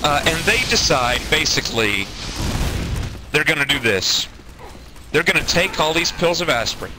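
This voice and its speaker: A man telling a comedic anecdote in an animated way, acting out the quotes as he goes. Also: fairly high pitch, normal speed. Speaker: storyteller, online gamer. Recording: noisy amateur game-session recording.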